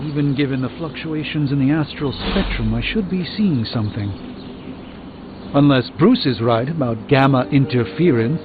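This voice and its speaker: A man's voice: mid-range voice, animated, normal speed, narrow, tinny audio, natural voice. An actor playing a proud, erudite, dryly witty male sorcerer in a video game, speaking in a formal, wordy way. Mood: measured, self-assured. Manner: formal, wordy, self-important. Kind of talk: video game character dialogue. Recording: thin band-limited audio under loud music. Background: game music.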